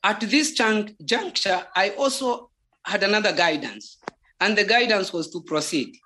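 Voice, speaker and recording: Slow, deliberate testimony in a Zambian accent. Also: mid-range voice, animated, pushed and bright, slight background noise. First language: Bemba. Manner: halting, deliberate, repeats words for emphasis. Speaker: religious testimony speaker. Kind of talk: spoken personal testimony.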